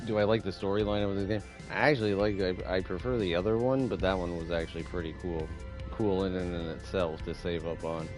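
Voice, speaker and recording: A man's voice: sits low, fairly even intonation, fairly quick. A man streaming a game with casual, musing commentary, often repeating himself. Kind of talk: casual livestream commentary, reading game dialogue aloud. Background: music.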